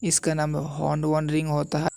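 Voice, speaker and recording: A woman's voice: sits low, completely flat delivery, moderate pace, gravelly, warm tone. A woman narrating a story recap, telling the plot and speaking the dialogue in her own voice. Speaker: anime and manhwa recap narrator.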